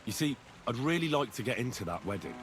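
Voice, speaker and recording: An actor playing a young drifter looking for work, pushy and sarcastic. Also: male voice, mid-range voice, big pitch swings, quick pace, background noise, natural voice.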